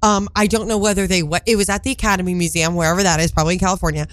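A woman's voice: on the low side, fairly even intonation, very fast, no room sound. A congested woman, her voice still recovering, co-hosting a casual pop culture chat show in a playful, emphatic, conversational way. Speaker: radio show co-host.

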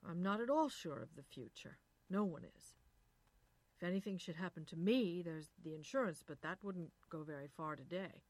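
Resentful husband nagging about money , woman reading him, low dry voice. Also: expressive, moderate pace, no room sound. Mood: lecturing. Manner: resentful, lecturing, rising to shouting. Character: bitter anxious husband in his thirties.

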